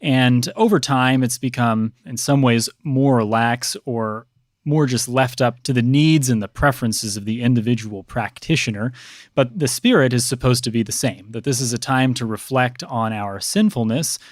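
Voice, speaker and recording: A man speaking as a priest and podcast guest, explaining religious customs conversationally in measured, scholarly, precise wording. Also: mid-range voice, no room sound, clean studio sound.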